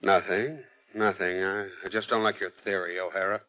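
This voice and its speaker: An American actor playing a heavyset, gruff, suspicious private detective in a terse, hard-boiled 1940s radio drama style. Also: male voice, deep voice, little pitch movement, normal speed, close to an everyday voice. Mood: irritated, skeptical. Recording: muffled, noisy vintage radio recording.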